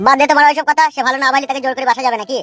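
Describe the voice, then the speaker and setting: A man's voice: very high voice, fairly even intonation, rapid-fire, pushed and bright, faint music behind. A man voicing a brash, sly young village friend in a cartoon, taunting in coarse slang. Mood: mocking. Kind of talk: cartoon comedy voice acting.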